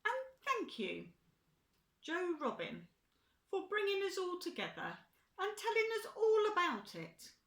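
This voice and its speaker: A woman's voice: very high voice, wildly swooping pitch, a bit bright, voice pushed up, full character voice. Woman as a stately storybook owl, echoey room. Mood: announcing, welcoming. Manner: measured, hooting announcements. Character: wise, formal male owl in charge of farm animals.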